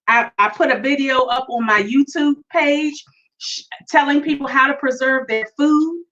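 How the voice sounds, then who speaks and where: High-pitched bright woman in her forties. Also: highs cut off. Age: 40s. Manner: animated, preachy, addresses listeners directly. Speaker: podcast host. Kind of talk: casual solo podcast rant.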